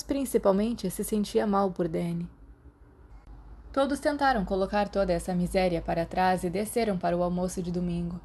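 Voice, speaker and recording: A woman with a Brazilian Portuguese accent reading a translated fan fiction aloud as a podfic, giving the characters light voices within steady narration. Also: medium pitch. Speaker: podfic reader.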